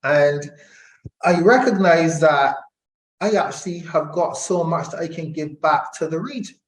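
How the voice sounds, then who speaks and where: A middle-aged man from Barbados, explaining his views deliberately as an interview guest and repeating phrases for emphasis. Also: a little high. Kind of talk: reflective conversational interview. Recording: echoey room.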